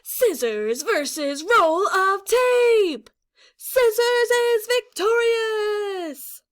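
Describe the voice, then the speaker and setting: A woman in her thirties, a teacher giving an expressive, dramatic read-aloud of a picture book to children. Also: very high voice. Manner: dramatic, drawn-out delivery. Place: small indoor classroom or office.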